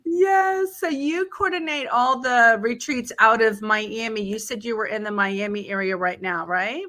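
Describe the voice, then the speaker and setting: Woman, podcast host, higher voice, upbeat, chatty, video call. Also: measured pace, sharp tone. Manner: upbeat, chatty, laughs easily. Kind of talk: casual interview.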